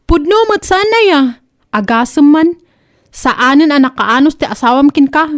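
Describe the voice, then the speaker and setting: A woman plays a sharp-tongued accuser from a comedy radio drama. She has a high voice and a reproachful, taunting way of telling people off. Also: expressive, moderate pace. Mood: indignant, taunting.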